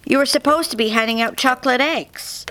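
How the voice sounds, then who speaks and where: A woman's voice: sits high, brisk, sharp tone, voice pushed up. A woman voicing a stern female rabbit boss, scolding and exasperated, in a live storybook reading. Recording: faint noise, live theatre reading.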